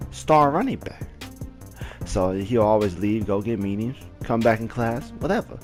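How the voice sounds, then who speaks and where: A young man from Southern California telling a casual story to the camera, animated and full of "like" fillers, his voice leaping up high when he relives moments. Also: normal speed, soft background music. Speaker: storyteller vlogger.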